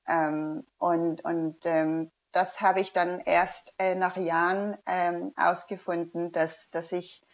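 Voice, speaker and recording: A woman's voice: on the low side, flat delivery, slow pace, few pauses, lo-fi sound. A woman whose first language is Danish, a diving instructor, chatting casually in a hesitant way with many fillers and restarts. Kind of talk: casual interview chat.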